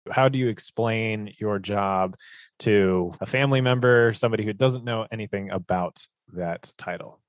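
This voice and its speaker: A man hosting a science podcast, reading a scripted intro and asking interview questions clearly. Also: on the low side, moderate pace, no room sound. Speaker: podcast host.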